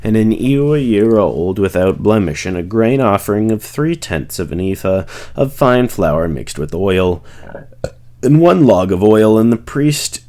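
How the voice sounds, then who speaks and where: A man's voice: on the low side, a little mellow. A man reading scripture aloud as a reader of a long text.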